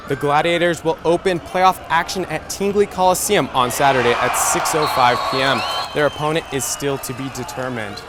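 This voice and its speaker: Higher-voiced young male sports anchor. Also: normal speed, hardly any pauses, a loud crowd, very noisy. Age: young adult. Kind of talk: scripted TV sports newscast.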